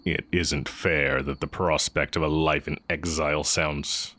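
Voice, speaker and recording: A man acting as a heretic sorcerer and former teacher with an extremely low voice. He speaks slowly and deliberately, leaving long pauses. His manner is sly, brooding and tender, played close and intimate as in a fantasy audio roleplay. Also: fairly even intonation, bright, forceful tone, full character voice. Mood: regretful. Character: exiled heretic male magic teacher, sly, brooding, tender dark mage.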